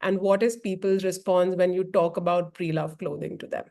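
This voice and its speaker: A woman's voice: fairly low pitch, steady tone, moderate pace, vocal fry, dark tone. A woman from India moderating a webinar over a video call, earnest and rambling, with frequent fillers.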